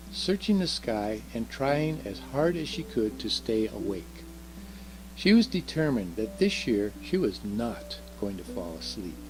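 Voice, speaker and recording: A man's voice: medium pitch, a little mellow, poor audio. A man reading a children's story aloud expressively, in the role of Santa. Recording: music behind the voice.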